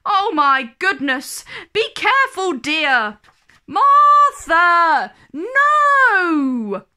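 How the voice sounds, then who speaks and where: A woman's voice: extremely high pitch, big pitch swings, drawn-out words, sharp tone, pitched above the performer's own voice, exaggerated voice. A woman voicing a bossy, easily alarmed storybook nanny who exclaims and scolds. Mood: alarmed, scolding.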